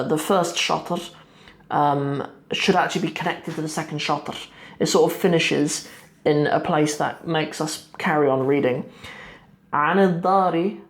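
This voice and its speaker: A young man in his twenties teaching Arabic poetry line by line, thinking aloud with many pauses and restarts, seated at a desk in a small room. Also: on the high side. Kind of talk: explaining a poem line by line.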